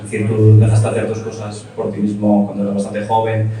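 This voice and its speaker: A man's voice: on the low side, completely flat delivery, fast, warm tone. A man hosting a casual interview, asking questions in an easygoing, conversational way. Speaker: interview host. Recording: echoey room, distant mic.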